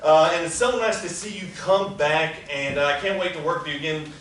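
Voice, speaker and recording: A man's voice: on the high side, brisk, fairly bright tone. A man, chatting with a live theater audience as he gives house notes and introduces the cast, laughing between remarks. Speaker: producer introducing a table reading. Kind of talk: live casual announcements to an audience. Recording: very echoey room, distant mic, audible noise.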